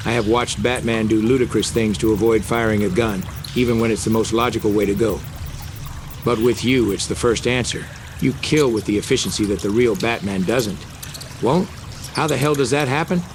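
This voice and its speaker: An actor playing a resolute, indignant crime fighter in a motion comic dub, speaking in a serious, insistent way. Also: male voice, fairly low pitch, moderate pace, noisy background, close to an everyday voice. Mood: bewildered, accusing. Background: dramatic music.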